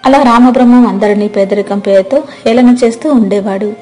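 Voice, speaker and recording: A woman's voice: mid-range voice, fairly even intonation, a little fast, warm tone. A woman reading scripted narration for a moral tale as the storyteller. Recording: music behind the voice.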